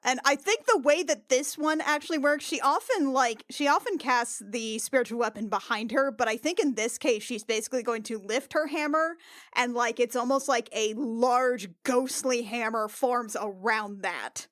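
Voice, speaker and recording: A woman narrating her moves casually as a player in a tabletop game, halting and thinking aloud, with some swearing. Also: fairly high pitch, a bit bright, no room sound, studio quality. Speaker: player in a tabletop RPG podcast.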